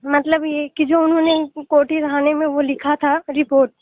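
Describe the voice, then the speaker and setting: A young woman presses a complaint on a recorded phone call. Her voice is extremely high and dry, with no room sound. She sounds aggrieved and keeps restating her point. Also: little pitch movement, moderate pace, a little mellow, no echo. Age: young adult. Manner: insistent, aggrieved, repeating points. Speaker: caller, a police officer's daughter. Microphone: telephone call.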